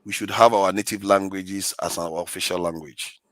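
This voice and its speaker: A man giving an argumentative rebuttal in a debate, deliberate and insistent, making his case point by point. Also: low-pitched, fairly even intonation, fairly bright tone, dry recording.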